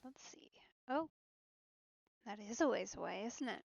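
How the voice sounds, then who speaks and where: A woman's voice: a little high, lively intonation, unhurried, creaky, dull audio, noisy background. A woman giving casual let's play commentary as she streams a game.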